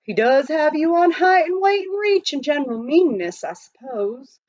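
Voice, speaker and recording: A woman voicing a rough, boastful, needling mining-town man in a children's storybook, with colloquial frontier talk. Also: high voice, big pitch swings, moderate pace, a little mellow, dry recording, muffled recording, pitched above the performer's own voice. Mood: sly boasting.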